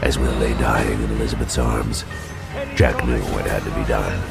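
Very deep, gravelly male storyteller. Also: fairly even intonation, moderate pace. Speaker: narrator. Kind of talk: dramatic scripted audio storybook narration. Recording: loud music under the voice. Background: loud dramatic music.

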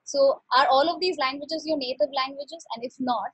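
A woman's voice: sits high, fairly even intonation, moderate pace, dry recording. A young woman interviewing a guest on a video call through a headset, asking questions hesitantly and often repeating words.

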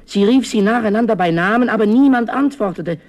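A man narrating a fairy tale as a storyteller, expressive and theatrical, in a dramatic scripted style. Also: sits high, a little fast.

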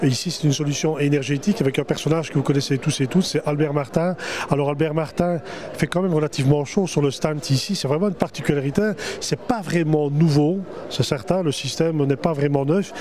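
Fast-talking presenter with handheld mic. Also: male voice, fairly high pitch, a crowd in the background. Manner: chatty, salesman-like presenter. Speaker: trade-fair TV host. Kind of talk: promotional interview at a trade show. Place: exhibition hall stands. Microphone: handheld microphone.

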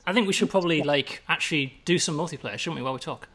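An easygoing man chatting with a colleague, voice sitting a little high for a man. He rambles relaxedly, restarting phrases and laughing along. The tone is casual and unhurried. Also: fairly bright tone. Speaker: game designer.

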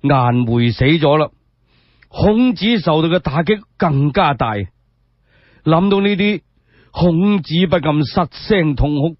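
A man telling a scripted story in Cantonese radio style, expressive and measured, with rhetorical asides, on a dull recording. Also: on the high side, no echo. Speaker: solo storyteller.

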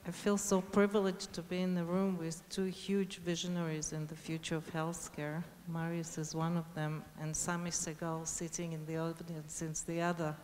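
A woman speaking from a conference stage at a slightly low pitch, sounding warm and appreciative. The large hall adds a long reverb, and a faint noise runs underneath. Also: level tone, moderate pace, few pauses. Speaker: panelist.

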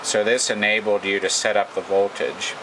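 American male hobbyist, low voice, halting informal demonstration, clear background hum. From United States. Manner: halting, thinking aloud. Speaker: radio repair hobbyist. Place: indoor workbench. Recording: noisy room, steady background hum.

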